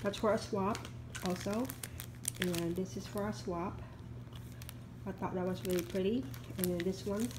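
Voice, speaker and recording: A woman's voice: mid-range voice, fairly even intonation, normal speed, long pauses, noisy background. A woman in her thirties with a congested, stuffed-up nose, chatting casually and rambling with many ums while showing items at home. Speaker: vlogger. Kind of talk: casual haul video. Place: home, at a table.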